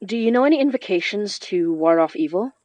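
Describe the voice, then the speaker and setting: A woman's voice: medium pitch, animated, brisk, darkish tone, dry recording, lightly acted. A woman playing a determined, informal young spirit summoner in a horror audio drama, giving things a self-possessed, casual tone. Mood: serious. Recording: dry, slightly dull studio sound.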